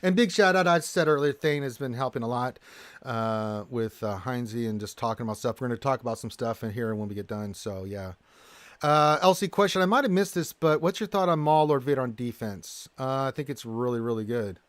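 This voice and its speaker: Animated American man hosting a gaming stream. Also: mid-range voice, brisk, dry recording. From Houston, Texas, United States. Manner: animated, jokey, exclaiming. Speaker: gaming livestream host. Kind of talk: casual livestream chat, reading and answering viewer comments.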